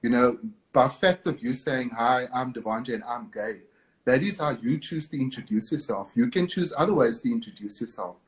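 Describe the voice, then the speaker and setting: A man's voice: medium pitch, normal speed, low-quality recording. Earnest Cape Town man on a video call. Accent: Cape Town South African. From Cape Town, South Africa. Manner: earnest, encouraging. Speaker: interview guest. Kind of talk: live video interview.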